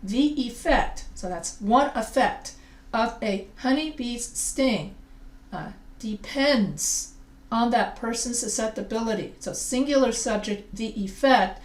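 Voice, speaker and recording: A woman teaching a recorded grammar lesson. English is not her first language, and she explains slowly, often repeating and restarting phrases. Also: a little high. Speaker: writing teacher. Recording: echoey room, a bit far from the mic.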